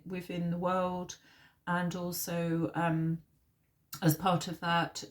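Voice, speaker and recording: A woman with a slightly husky, nasal voice from a sore throat, giving an informal, halting tarot reading as host. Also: lowish voice.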